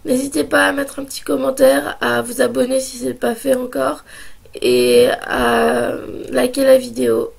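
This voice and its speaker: A young woman speaking slowly into her camera, her high voice rough and husky from a heavy cold. Her tone is gravelly and full of fry, and she stops and restarts often as she shares her views. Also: animated. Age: 20s. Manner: hesitant, many pauses and restarts. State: hoarse, sore throat and cold. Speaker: vlogger. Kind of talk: casual opinion vlog.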